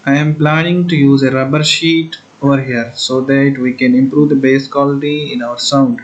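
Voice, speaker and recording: A man's voice: mid-range voice, highs cut off. A man narrating a hands-on tutorial casually, explaining and thinking aloud as he works. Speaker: host of a DIY electronics build video.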